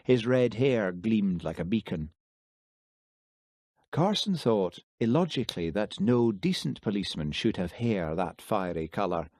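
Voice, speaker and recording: Male audiobook narrator, lowish pitch with animated intonation, close dry recording, scripted fiction read. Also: measured pace, no room sound.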